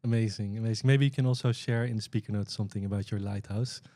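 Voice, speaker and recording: Easygoing male podcast host, mellow tone. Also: fairly low pitch, fairly even intonation, fast, dry recording. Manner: friendly, laughs often, short affirmations. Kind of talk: casual podcast hosting.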